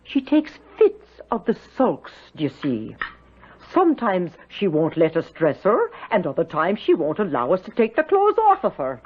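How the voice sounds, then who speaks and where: A woman's voice: fairly low pitch, a little hiss or hum. Motherly irish housekeeper, gossipy and fond, voice goes up and down loads, muffled old radio drama recording. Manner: folksy, voluble, lilting storytelling. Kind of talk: 1970s radio drama acting.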